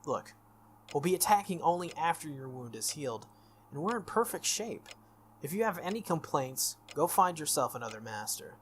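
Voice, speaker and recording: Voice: mid-range voice, big pitch swings, moderate pace, a little hiss or hum, close to an everyday voice. A game streamer voicing an earnest, protective, stubborn young man who scolds bluntly in a visual novel. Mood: firm, apologetic.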